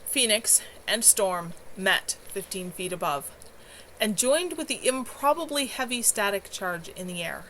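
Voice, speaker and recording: A woman's voice: medium pitch, lively intonation, measured pace, bright, forceful tone, low-quality recording. A woman narrating fantasy fiction as an amateur author reading her own story aloud. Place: at home. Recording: clear background noise, home livestream.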